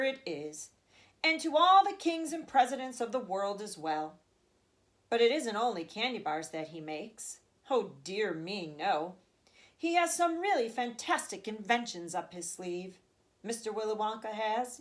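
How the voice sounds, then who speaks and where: A woman voicing an excitable, kindly old grandfather from a children's storybook, dramatic and savoring each detail. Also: mid-range voice, measured pace, natural voice. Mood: emphatic, excited. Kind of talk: children's storybook read aloud.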